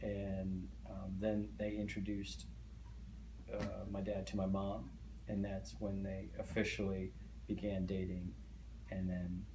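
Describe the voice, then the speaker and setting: Middle-aged man, first language Hungarian, low monotone voice, halting with frequent fillers, echoey room with faint hiss. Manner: hesitant, many fillers and restarts. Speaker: interviewee. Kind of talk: oral history interview.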